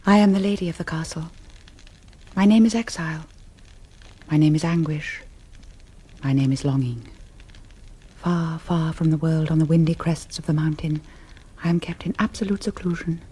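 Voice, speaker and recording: An actress playing a languid, melancholy young countess of vampire blood in a theatrical seventies radio drama. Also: female voice, sits low, normal speed, long pauses, warm tone, slightly rough. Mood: dreamy anguish. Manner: theatrical, incantatory, swooning. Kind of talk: 1970s radio drama acting.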